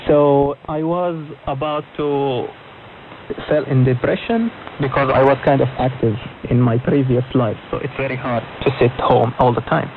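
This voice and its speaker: Through clear static and a very thin, narrow shortwave signal comes a young man with a mid-pitched voice. He speaks fluent but non-native English in short phrases, telling his own story as a radio interview guest. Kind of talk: recounting personal experiences in a radio interview. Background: clear radio static and noise.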